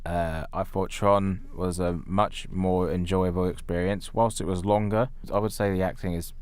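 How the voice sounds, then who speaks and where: Very low-voiced man giving blunt film opinions. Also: little pitch movement, moderate pace, smooth. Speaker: podcast co-host. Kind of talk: casual film review chat.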